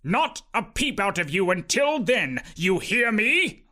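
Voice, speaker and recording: A man voicing a stern, pompous, greedy rich uncle who speaks slowly and grandly in a storybook reading. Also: high voice, very animated, bright, forceful tone, exaggerated voice. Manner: pompous, slow, decreeing.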